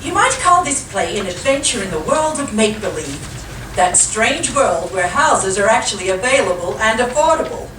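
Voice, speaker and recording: A woman's voice: mid-range voice, sing-song, normal speed, lightly acted. A woman performing as the cheery narrator of a comedy radio play, in a scripted theatrical style. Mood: playful, inviting. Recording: echoey hall, distant mic, background noise.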